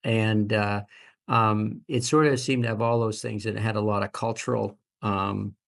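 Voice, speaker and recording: A man in his seventies answering as an interview guest, speaking conversationally and haltingly, often repeating words as he reflects. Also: lowish voice, fairly even intonation, vocal fry, a bit bright. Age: 70s. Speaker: fertility doctor, interview guest.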